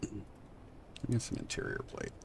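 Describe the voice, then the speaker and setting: A man streaming a game from home, thinking aloud and answering chat in a soft, unhurried voice. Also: noisy background. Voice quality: low, soft, relaxed voice. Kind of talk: casual live-stream gameplay chat.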